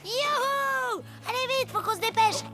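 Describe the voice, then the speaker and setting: A woman voicing an eager, curious, impatient little boy in a children's animated series. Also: very high-pitched, a little slow. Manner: excitable, inquisitive. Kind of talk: children's cartoon dubbing. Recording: music under the voice.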